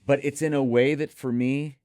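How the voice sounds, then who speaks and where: A man's voice: medium pitch, fairly even intonation, moderate pace, darkish tone, dry recording, clean studio sound. A man co-hosting a product preview, speaking emphatically and effusively and repeating points for emphasis. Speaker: audio gear reviewer and co-host. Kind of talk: enthusiastic product preview talk.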